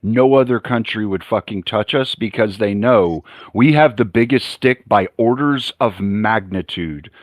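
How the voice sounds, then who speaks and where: A man's voice: lowish voice, a little slow, few pauses, dry recording. A man on a panel making blunt, profane points. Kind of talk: heated panel discussion on a live stream.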